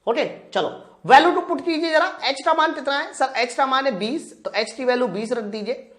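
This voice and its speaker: Female physics tutor, fairly high voice, informal question-and-answer delivery for exam students. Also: moderate pace. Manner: asks questions and answers them herself; addresses students directly and informally. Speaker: physics teacher for exam preparation. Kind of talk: live lesson, working through practice questions step by step.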